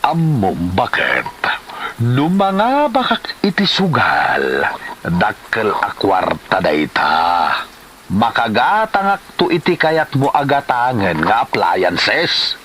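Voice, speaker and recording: A man with an Ilocano accent playing a scheming, smooth-talking gambler husband in a comedy drama, with an animated, exclamatory delivery. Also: mid-range voice, slow pace, a little hiss or hum, heavily acted. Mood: brash.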